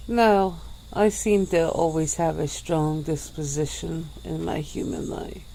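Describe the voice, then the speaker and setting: A woman voicing a centuries-old female vampire who speaks slowly and softly, with breathy little laughs, in an ASMR roleplay. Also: low-pitched, steady tone, a little hiss or hum, low-quality recording. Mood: teasing, languid. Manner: slow, soft-spoken, with breathy little laughs.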